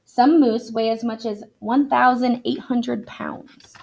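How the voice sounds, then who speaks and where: Female teacher, slightly high pitch, reading aloud and explaining clearly to young children. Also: fairly even intonation, slow pace, lo-fi sound, slight background noise. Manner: explanatory, addressing young learners. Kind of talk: expressive read-aloud for children.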